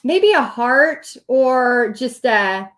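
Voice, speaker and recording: A middle-aged woman with a Texan accent, talking straight to her viewers like a coach on a casual livestream. Her voice sits high, and she chats along directly and laughs often. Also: animated, extremely slow. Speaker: host teaching paint party business.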